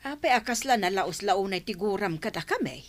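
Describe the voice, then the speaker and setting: A woman's voice: mid-range voice, expressive, a little fast, close to an everyday voice. A woman playing an older, indignant and complaining mother-in-law in a radio drama, with an Ilocano accent.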